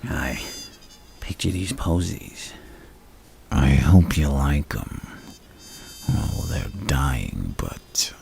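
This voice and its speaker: Crazy lovestruck supervillain asmr, super slow and halting, gravelly breathy whispery, creepy polite, bit of noise behind. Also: male voice, rumbling low, big pitch swings, darkish tone, exaggerated voice. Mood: playful menace. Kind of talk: ASMR villain roleplay.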